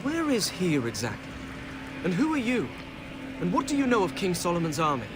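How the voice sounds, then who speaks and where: An actor playing a brave, wry young prince in a video game cutscene. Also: male voice, high voice, wildly swooping pitch, a little fast. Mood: puzzled, wary. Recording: loud game music behind the voice. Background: cinematic music.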